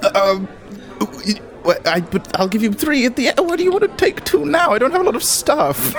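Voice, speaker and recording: Man doing a high, flustered goblin merchant. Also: very animated, a little fast, soft background music, heavily acted. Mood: anxious bargaining. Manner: stammering, pleading, wheedling salesman. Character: flustered, fast-talking male goblin merchant, cowardly but boastful. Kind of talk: tabletop actual play character acting.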